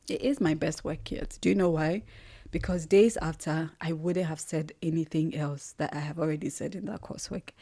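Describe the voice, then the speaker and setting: Ghanaian woman, young adult, low voice, earnest reflective podcast host. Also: a little mellow. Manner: thoughtful, earnest, pauses to search for words. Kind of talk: reflective solo podcast monologue.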